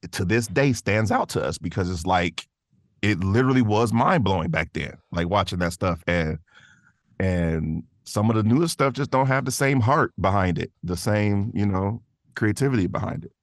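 Low-voiced man in casual podcast chat. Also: lively intonation, moderate pace, no room sound, studio quality. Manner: informal, slangy, rambling. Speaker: podcast guest, voice actor and artist.

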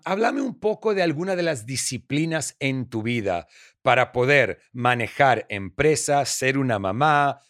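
A man's voice: a little high, no room sound, clean studio sound. A man from Argentina with an Argentine Spanish accent, hosting a faith-based podcast interview in an encouraging, effusive way. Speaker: podcast host.

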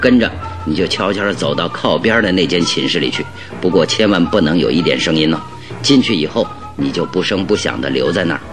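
Radio drama detective: very low voice, shrewd, exacting, deliberate and commanding. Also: male voice, animated, music in the background. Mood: firm, instructing. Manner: deliberate, instructing, emphatic. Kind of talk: Mandarin radio drama acting.

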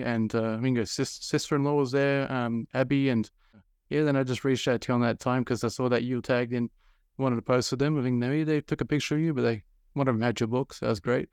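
Man, clear smooth voice, dry sound, interview host, rambling with fillers. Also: medium pitch, a little mellow, dry recording. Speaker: podcast host. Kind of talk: casual interview.

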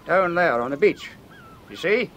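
An actor playing a pious, formally courteous Scottish church minister in a radio drama. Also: male voice, sits high, big pitch swings, measured pace, sharp tone, slight background noise, full character voice. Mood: earnest. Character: pious, patronising Scottish island minister, male.

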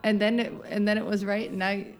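A woman's voice: medium pitch, little pitch movement, a little fast, faint music behind. A woman from the United States, chatting as a podcast guest, laughing often and wandering into asides. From United States (Southern Oregon). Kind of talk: casual chat.

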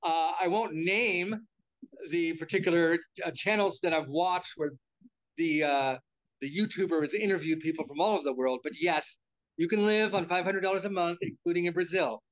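Older man, high-pitched, American, interview guest, rambling, repeats words. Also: moderate pace, rough recording. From United States. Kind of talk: casual interview talk.

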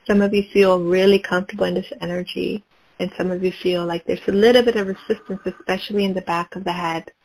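A woman leading a guided meditation, speaking in slow, calm, repetitive prompts. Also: lowish voice, rough recording.

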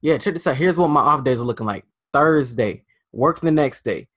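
A young man co-hosting a casual podcast, talking in slangy, rambling, animated bursts. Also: medium pitch, normal speed, no room sound, clean studio sound. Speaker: podcast co-host. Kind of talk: casual chat.

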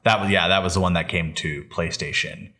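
A man's voice: sits low, fairly even intonation, a little fast, gravelly, pushed and bright. A man co-hosting a podcast in casual chat, talking in a rambling, hesitant way. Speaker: podcast co-host. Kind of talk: casual chat about Star Wars games and shows.

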